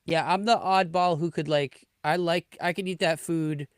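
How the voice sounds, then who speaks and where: A man's voice: on the high side, fairly even intonation, normal speed, smooth, no echo, studio quality. A man in his thirties hosting a podcast, chatting casually and enthusiastically, often trailing off mid-sentence. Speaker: podcast host. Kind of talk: casual chat.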